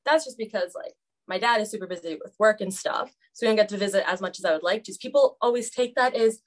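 Young woman, student guest, chatty and candid, mid-pitched everyday voice. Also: fast talker. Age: young adult. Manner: candid, chatty, many "like" fillers. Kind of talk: casual podcast roundtable.

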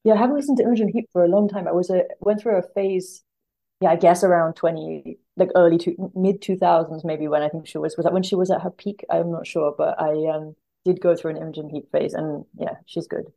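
Female podcast guest, deep for a woman with heavy vocal fry, informal, works out her thoughts as she talks. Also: steady tone, fairly quick, mellow, rough recording. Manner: tentative, thinking aloud. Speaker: podcast guest, literary translator. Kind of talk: casual interview chat.

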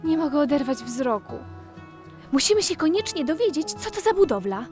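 A woman's voice: sits high, big pitch swings, normal speed, darkish tone, soft background music. A woman playing an eager, curious little girl full of wonder in a children's audio play. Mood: awed, excited. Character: curious, enthusiastic little girl exploring with brother.

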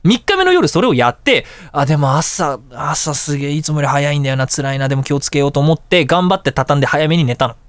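A high-voiced man hosts a casual talk show with a very animated, swinging pitch. He tells everyday stories at a quick clip, throwing in exclamations and vocal sound effects. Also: dry recording. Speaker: radio show host. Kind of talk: casual anecdotal monologue.

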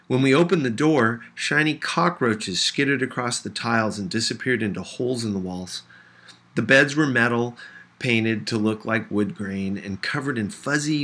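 Expressive male audiobook reader, bright voice. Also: fairly low pitch, breathy edge. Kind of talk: expressive scripted narration of a novel.

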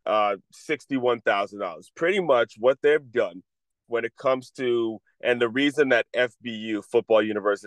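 A man's voice: fairly low pitch, fairly bright tone, dry recording. A man hosting a podcast, talking casually and a bit congested, often restarting his sentences as he explains things to young athletes. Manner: rambling with frequent restarts. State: congested, under the weather. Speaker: podcast host. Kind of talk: casual solo talk, advice to athletes.

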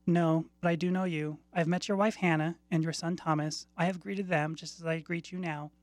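A woman reading the part of a calm, compassionate female spirit of death in a short story, consoling and gentle. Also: lowish voice, moderate pace, no echo, close to an everyday voice.

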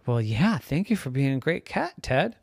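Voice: medium pitch, sing-song, moderate pace, vocal fry, a little mellow, husky, dry recording, studio quality, voice pushed up, full character voice. A storyteller voicing a kind, bewildered pet owner who is surprised and apologetic toward the pets.

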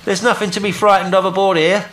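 A man voicing a blunt, practical sailor in a sea story, talking briskly and colloquially. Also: mid-range voice, sharp tone, pitched above the performer's own voice, poor audio. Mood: hailing. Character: bold, practical male sailor, blunt and reassuring.

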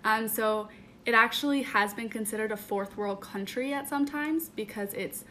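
A woman's voice: fairly high pitch, normal speed, bright, forceful tone. A nineteen-year-old woman who grew up in Nepal, guesting on a podcast, hesitant and thoughtful with many fillers. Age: teens. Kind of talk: casual interview chat. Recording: background noise.

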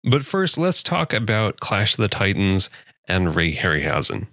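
Male host, low and bright voice, easygoing, dry sound. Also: normal speed, dry recording. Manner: relaxed, self-deprecating, laughs often. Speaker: podcast host. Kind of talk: casual chat.

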